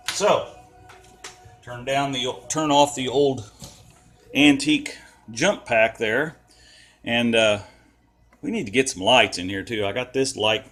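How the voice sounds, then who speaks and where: A man's voice: mid-range voice, fairly bright tone. An American man with a folksy, rambling, colloquial way of talking, giving casual hands-on commentary as he does DIY car repairs.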